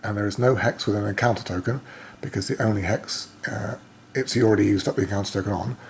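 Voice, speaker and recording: A man with a fairly low, croaky voice commentates casually on his own solo board game. He reasons out loud and pauses hesitantly as he goes. There is some faint noise behind his voice. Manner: thinking aloud, hesitant pauses. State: croaky voice, coughing now and then. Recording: noticeable background noise.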